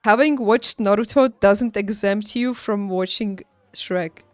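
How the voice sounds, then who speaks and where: A woman's voice: medium pitch, measured pace, dry recording, clean studio sound. A woman livestreaming a game, chatting casually with her viewers in a playful, teasing way. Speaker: video game streamer.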